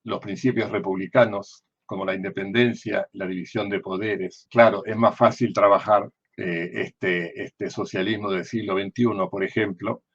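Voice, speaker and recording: An older Argentine man with a low voice and a gravelly, creaky edge delivers political commentary. He explains things deliberately, like a lecturer, asking questions and then answering them. The sound is a little dull and lo-fi. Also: steady tone, a bit bright. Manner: deliberate, didactic, with digressions and self-questions. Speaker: former diplomat and political analyst.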